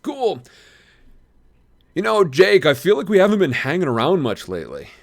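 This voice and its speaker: A man's voice: fairly high pitch, wildly swooping pitch, moderate pace, voice pushed up. A man voicing a cocky teenage older brother who acts overly friendly but hides something sinister.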